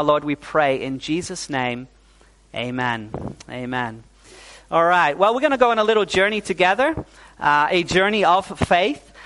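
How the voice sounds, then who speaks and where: Young man from New Zealand, fairly high voice, a pastor in mid-sermon with a warm, chatty delivery full of "uh"s. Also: rough recording. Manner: warm, fillers like "uh", calls for "amen". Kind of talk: sermon to a congregation.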